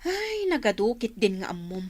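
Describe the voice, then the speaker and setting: A woman's voice: fairly high pitch, very animated, moderate pace, a little mellow. A woman playing a stubborn, resentful working wife in a radio drama, curt and dismissive, given to exclamations. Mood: grudging. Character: resentful, stubborn working wife bitter toward her father.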